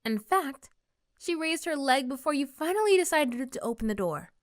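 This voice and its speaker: Woman narrator, fairly high voice, scripted storytelling, ASMR roleplay, dry recording. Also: expressive, moderate pace, no room sound.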